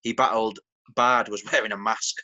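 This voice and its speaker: Chatty man, bright voice, lo-fi sound. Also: on the low side, fairly even intonation, normal speed. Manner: chatty, enthusiastic reactions. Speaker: team manager reviewing battles. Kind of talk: casual chat.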